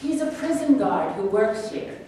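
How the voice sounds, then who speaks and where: An actress playing a middle-aged court doctor in a staged play reading, formal, composed and probing, with clipped questions. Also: female voice, a little high, big pitch swings, unhurried, mellow. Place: live staged reading in an echoing room. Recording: echoey room, distant mic, background hiss.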